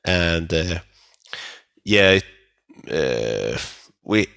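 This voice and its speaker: A man with a Swedish accent, a native Swedish speaker, chatting casually as an interview guest in halting phrases. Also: low-pitched, lively intonation, gravelly, a bit bright, no room sound, clean studio sound. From Sweden. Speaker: metal band vocalist, interview guest.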